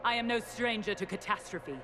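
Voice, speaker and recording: A woman's voice: medium pitch, a little fast, noisy background, close to an everyday voice. An actress playing a stern, formal, menacing woman commander in a video game. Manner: formal, declamatory.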